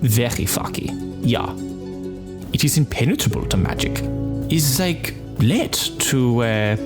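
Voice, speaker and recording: Lively put-on craftsman, slow and musing, played by a man over music. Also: mid-range voice, close to an everyday voice. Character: male woodworker with a German flair, helpful.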